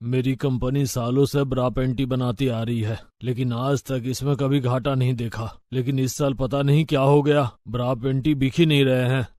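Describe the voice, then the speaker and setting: A man's voice: mid-range voice, steady tone, normal speed, few pauses, darkish tone, no echo, natural voice. A man voicing a serious, authoritative middle-aged businessman father in a story, grave and advising. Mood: worried.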